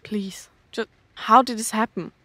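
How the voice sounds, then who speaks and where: A woman's voice: a little high, steady tone, unhurried, fairly bright tone, no room sound. A woman playing a bewildered, skeptical astronaut doctor in an audio drama, speaking curtly. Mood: overwhelmed, insistent. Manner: curt, skeptical. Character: bewildered, curt female astronaut doctor lost in time.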